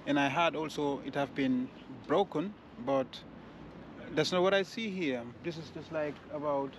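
A man with hosting a casual walking vlog, speaking in halting, repetitive phrases. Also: fairly high pitch. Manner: repetitive, halting phrases with non-standard grammar. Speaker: vlogger and walking-tour host. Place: outdoors on a city street. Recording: outdoor street noise.

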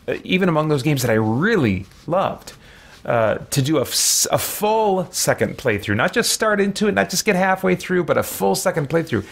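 A man's voice: medium pitch, gravelly, a bit bright. A man in his forties chatting casually as he hosts a game livestream, rambling and self-deprecating. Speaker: streamer, host of a let's play. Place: small room at home.

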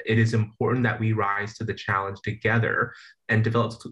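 Young man, somewhat low voice, earnest and formal, small restarts; webcam audio from a plain room. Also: muffled recording. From San Francisco Bay Area. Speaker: award recipient. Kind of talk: prepared acceptance speech. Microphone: video call webcam.

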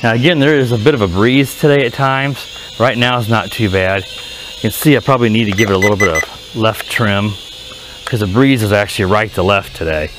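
A man giving a casual, unscripted hands-on review outdoors, speaking haltingly and often correcting himself. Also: lowish voice, noisy background. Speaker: product reviewer. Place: outdoors in a residential driveway. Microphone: head-mounted action camera.

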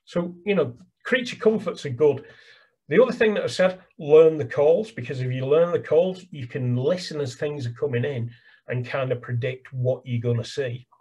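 An older Englishman talks over a laptop video call from a small room at home, his voice husky and a touch high. He speaks with eager energy, gesturing and doubling words as he goes. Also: normal speed, warm tone. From England. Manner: enthusiastic, gesturing, repeats words. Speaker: birdwatching guide. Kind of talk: casual video call chat. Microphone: webcam on a video call.

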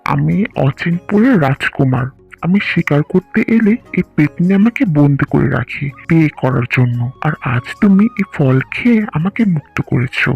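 A man voicing a gentle young prince trapped in a tree in a cartoon, earnest and pleading. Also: a little high, animated, normal speed, gravelly, slightly rough, faint music behind, full character voice. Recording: dull lo-fi cartoon audio.

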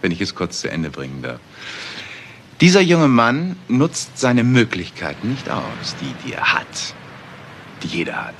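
An actor playing a suave, cynical career criminal with eloquent, ironic phrasing in a German crime drama. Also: male voice, medium pitch, wildly swooping pitch, unhurried, fairly bright tone, slight background noise. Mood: smug, philosophizing. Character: suave, cynical male career criminal, self-assured and unrepentant.

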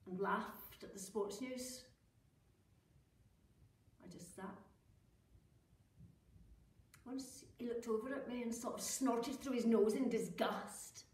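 An actress performing a theatrical monologue as an embittered woman who stabbed her husband, speaking with a low, intense, brooding delivery. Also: takes it slowly, pauses often, darkish tone, far from the mic. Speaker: actor performing a stage monologue. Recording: echoey room, mic from afar.

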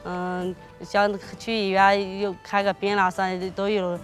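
Hesitant woman speaking in a flat voice. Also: medium pitch, unhurried, soft background music. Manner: hesitant, many fillers and restarts. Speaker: villager. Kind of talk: casual testimony.

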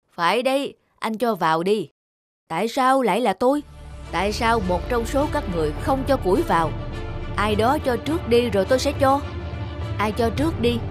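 Animated fable: woman as selfish stranded traveller, grudging and stubborn, higher-pitched, music under the voice. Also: close to an everyday voice. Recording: music behind the voice.

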